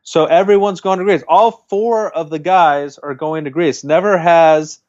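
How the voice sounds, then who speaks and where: A man's voice: a little high, a little slow, darkish tone. A man co-hosting a podcast, recapping a reality dating show in casual chat and often restarting his sentences. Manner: rambling, restarts mid-sentence. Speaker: podcast co-host. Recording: dull lo-fi sound.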